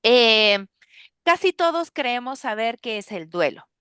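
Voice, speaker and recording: A woman hosting an interview, earnest and pausing often with fillers. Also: on the high side, very animated, fairly bright tone, clear, resonant tone, no room sound, studio quality. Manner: earnest, with many filler pauses. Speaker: host.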